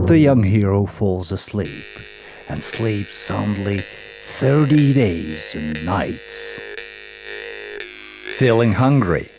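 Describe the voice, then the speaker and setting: A man reading scripted narration of a folk epic in a formal, measured storytelling manner. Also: fairly low pitch. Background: music.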